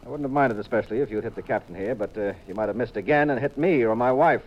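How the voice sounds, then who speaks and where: An actor playing a wry, self-assured private detective in a 1950s radio drama, coolly teasing and dry. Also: male voice, medium pitch, fast talker, close to an everyday voice. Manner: cool, dryly teasing. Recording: dull 1950s radio recording.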